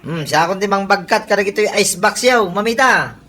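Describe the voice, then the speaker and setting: An actor playing a flirty, cheeky, excitable idle neighbourhood man in a comedy radio drama, with a Filipino accent. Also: high voice, fairly quick, close to an everyday voice. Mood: eager.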